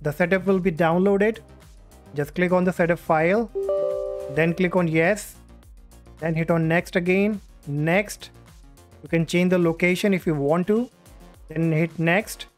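A man hosting a step-by-step software tutorial, speaking into a USB condenser microphone. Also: high-pitched, fairly even intonation, a little slow, pauses often. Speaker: tutorial host. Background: music.

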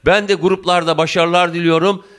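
A man in his fifties interviewing a student in a school office, effusive and warm in address, holding a handheld mic. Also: sits high, level tone, fairly quick. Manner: effusive, addresses viewers and guest as "dear". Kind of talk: local TV interview.

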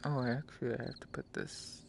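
A man giving casual live gameplay commentary as a streamer, halting and talking in short phrases, often mumbling to himself. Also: mid-range voice, huge pitch swings, gravelly. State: frequent coughing. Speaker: game streamer. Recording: noisy home stream microphone.

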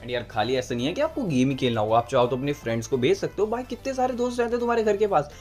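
A man's voice: a little high, big pitch swings, racing, a little mellow. A young man doing a chatty, persuasive gaming tutorial voice-over, talking to viewers like buddies. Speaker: gaming video creator. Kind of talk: casual tutorial voice-over mixing Hindi and English. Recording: music behind the voice. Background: background music.

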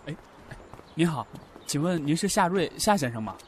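Polite courier played by a man for a radio play; lightish pitch, businesslike manner. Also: lively intonation, normal speed, a little mellow, a little hiss or hum, lightly acted. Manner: courteous. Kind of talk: audio drama acting in Mandarin.

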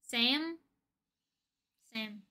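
Young female streamer, high-pitched and bright, playful slangy chat with viewers. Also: very slow. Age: young adult. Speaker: livestream host. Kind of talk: casual livestream chat with viewers. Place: indoor room with desk and shelves of collectibles.

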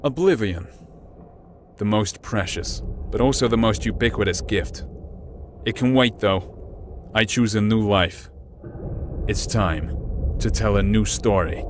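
Low, grave, world-weary man played by an actor. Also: a little slow, close to an everyday voice. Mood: reflective, resolved. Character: world-weary male soul choosing to be reborn. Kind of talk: dramatic video game acting. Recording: low rumbling noise behind voice.

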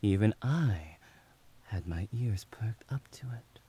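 A man voicing a devoted, doting young male servant in an ASMR roleplay, whispering softly and soothingly. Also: lowish voice, animated, takes it slowly, husky, full character voice. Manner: soft whispering, affectionate and soothing.

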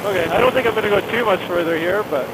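A man's voice: high-pitched, rapid-fire. A man chatting casually on a helmet intercom outdoors, as a pilot in flight. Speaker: paramotor pilot. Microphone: helmet headset intercom. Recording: helmet intercom with loud engine noise.

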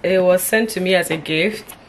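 Woman, 20s, casual vlog talk, chatty, lowish voice, small bedroom, camera mic. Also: normal speed, slight background noise, rough recording. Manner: chatty, addresses viewers as guys. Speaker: vlogger. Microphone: camera mic at arm's length and across the room.

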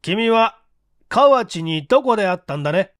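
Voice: medium pitch, lively intonation, normal speed, fairly bright tone, no room sound, studio quality, pitched above the performer's own voice, natural voice. A performer voicing a stern, overbearing male police investigation chief in a mystery audiobook, accusatory and commanding. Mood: accusing.

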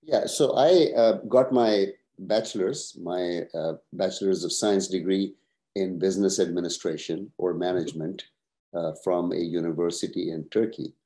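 A man in his sixties, originally from Pakistan, answering interview questions over a video call at a measured pace, with many "uh" pauses. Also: deep voice, mellow. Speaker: guest, professor and retired executive.

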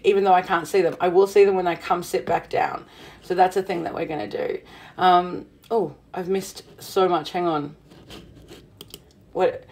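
A middle-aged woman with a slightly husky voice, chatting casually to viewers on her livestream from a cottage room, bubbly and full of fillers. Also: lowish voice, darkish tone. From Southern Hemisphere. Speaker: witchcraft livestream host. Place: witchy cottage room indoors.

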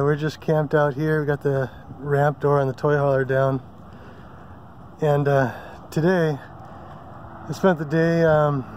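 A man's voice: on the high side, a little mellow, a little hiss or hum. A man casually vlogging outdoors at a riverside campsite, filming with a handheld phone and rambling with many ums and self-corrections. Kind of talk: casual how-to vlog. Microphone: handheld phone camera.

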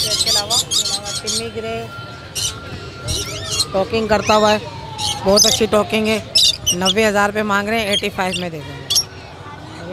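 Older woman calmly listing bird prices. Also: medium pitch. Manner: plain, steady price listing. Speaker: bird seller. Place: outdoor bird market stall. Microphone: handheld mic. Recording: noisy outdoor market.

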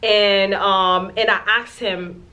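A woman's voice: medium pitch, flat delivery, takes it slowly, bright, forceful tone. Young woman vlogger, casual storytime to camera in a small room, candid and chatty. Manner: chatty, candid, lots of "like" and "you know".

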